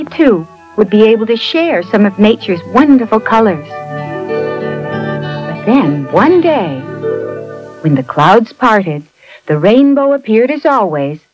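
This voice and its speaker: Dull lo-fi sound, woman narrator, fairly high voice, expressive fairy tale reading, faint music. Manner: expressive storytelling. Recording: muffled lo-fi audio, faint music behind.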